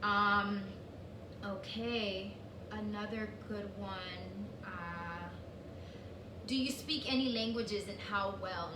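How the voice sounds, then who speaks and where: A woman whose first language is Spanish chats casually as a co-host, full of fillers and restarts. She sits back from the mic in an echoey room. A steady hiss of noise runs under her voice. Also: mid-range voice, takes it slowly, far from the mic. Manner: chatty, lots of fillers and restarts. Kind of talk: casual chat.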